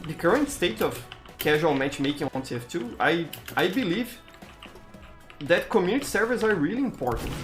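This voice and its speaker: Lively Brazilian-accented man streaming games. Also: on the high side. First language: Portuguese. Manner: halting, often repeats words while searching for them. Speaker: game streamer. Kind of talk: casual gameplay commentary, answering chat.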